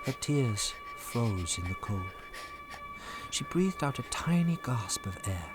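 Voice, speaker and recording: A man narrating a story dramatically, building suspense with short weighted phrases and pauses. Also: mid-range voice, big pitch swings, music in the background. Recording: loud storm ambience behind narration.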